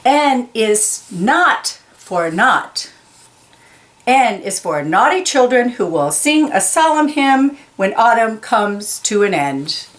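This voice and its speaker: A woman's voice: on the high side, big pitch swings. A woman reading a children's picture book aloud, carefully stressing key words and explaining them simply. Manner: slow, deliberate, enunciates key words for young listeners.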